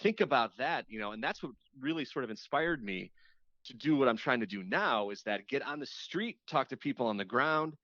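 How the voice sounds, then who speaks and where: A man's voice: mid-range voice, lively intonation, fairly quick, a bit bright, dry recording. A man talking as an interview guest in a casual, reflective, chatty way, circling back and repeating words for emphasis. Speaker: radio host appearing as a podcast guest. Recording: slightly dull, lo-fi audio.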